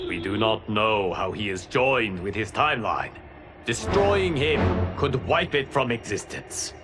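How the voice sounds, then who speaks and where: An actor playing a wise, commanding guardian god in a video game, with a formal, authoritative and deliberate delivery. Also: male voice, fairly low pitch, big pitch swings. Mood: stern, measured. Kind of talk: dramatic video game acting. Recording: music behind the voice.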